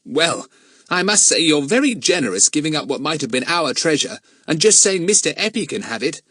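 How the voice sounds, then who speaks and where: A man's voice: on the high side, wildly swooping pitch, normal speed, fairly bright tone, dry recording, studio quality, pitched above the performer's own voice, full character voice. A man doing a gloomy, earnest boy. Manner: earnest, a bit exasperated.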